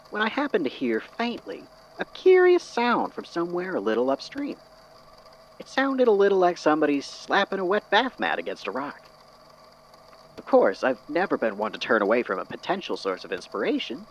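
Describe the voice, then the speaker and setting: An actor playing an eccentric, courteous, musing storyteller with a folksy, wordy, theatrical manner in an audio drama. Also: male voice, on the high side. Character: eccentric, courteous, philosophical storyteller man from Tennessee. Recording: dull lo-fi audio with clear noise.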